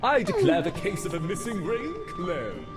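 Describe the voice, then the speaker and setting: A man playing a pompous gentleman detective in a fan-dubbed fantasy game, speaking in grand, florid, theatrical phrases. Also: high-pitched, huge pitch swings, moderate pace, over music. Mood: triumphant. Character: pompous, flamboyant gentleman detective, self-styled inspector extraordinaire. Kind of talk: fan-dub video game acting.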